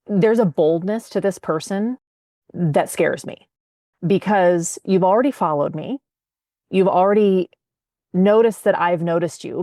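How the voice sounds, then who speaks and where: A woman's voice: on the low side, a little mellow, no echo, studio quality. A woman telling a personal story as a podcast guest, emphatic and acting out her own remembered words. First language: English.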